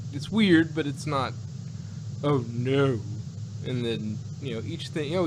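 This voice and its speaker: A man in a casual review discussion, speaking in a halting, rambling way as a co-host. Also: mid-range voice. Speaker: podcast co-host reviewing audio dramas. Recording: clearly noisy, humming background.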